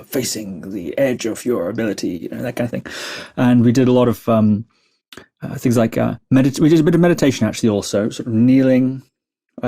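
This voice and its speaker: A man from the Shetland Islands, a meditation teacher telling his life story as a podcast guest, thoughtful and hesitant, with many pauses and fillers. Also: mid-range voice, warm tone. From Scotland, Shetland Islands. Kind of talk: reflective interview storytelling.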